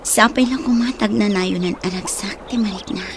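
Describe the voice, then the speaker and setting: A woman's voice: medium pitch, normal speed, noisy background, lightly acted. A woman playing an affectionate young sweetheart in an Ilocano-accented radio drama. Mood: tender, breathy. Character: Sinay, affectionate young woman courted by two men. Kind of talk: Philippine radio drama acting.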